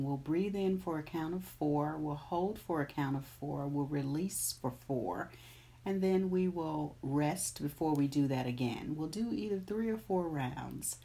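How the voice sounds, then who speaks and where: A woman leads a meditation, counting each breath and speaking affirmations. Her voice sits low and mellow. She talks at a slow, soothing pace. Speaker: meditation guide.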